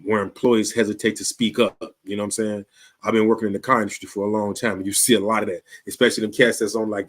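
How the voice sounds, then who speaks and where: A Chicago man in his fifties talks to his viewers in a loose, conversational way. His voice sits a little low, and he circles back, repeats himself and sprinkles in street slang as he works through his point. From Chicago, USA. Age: 50s. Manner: laid-back street slang, frequent "you dig?" and restarts. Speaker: show host. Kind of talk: casual talk to camera.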